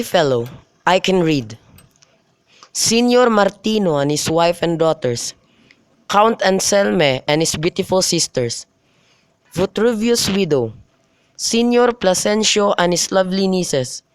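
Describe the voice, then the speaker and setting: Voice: medium pitch, animated, slow pace, clean tone. An amateur performer playing a lovesick, miserable young nobleman in a radio drama, reading earnestly and declaiming. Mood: polite, curious.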